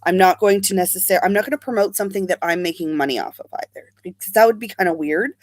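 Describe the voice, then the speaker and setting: A woman's voice: lowish voice, fast, no room sound, studio quality. A young woman chatting casually on a livestream, sniffly from allergies and rambling as she answers comments. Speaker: beauty vlogger.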